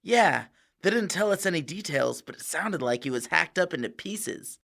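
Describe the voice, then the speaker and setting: A man playing a cheeky, easygoing and slightly dim teenage high school boy in an audio drama, with breezy, slangy talk. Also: expressive, normal speed, vocal fry, fairly bright tone, exaggerated voice. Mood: bemused.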